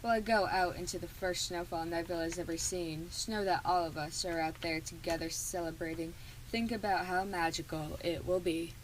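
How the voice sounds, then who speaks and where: A woman's voice: on the low side, fairly even intonation, moderate pace, natural voice. A teenage girl playing a wistful, eager small-town radio host in a radio play monologue. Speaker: student performer in a school radio play. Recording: noisy room ambience.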